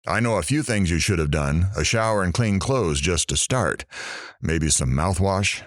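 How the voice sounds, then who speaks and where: A man's voice: low voice, expressive, normal speed, dry recording, clean studio sound. A man playing a radio talk show host who wisecracks at the storyteller. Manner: wisecracking, teasing.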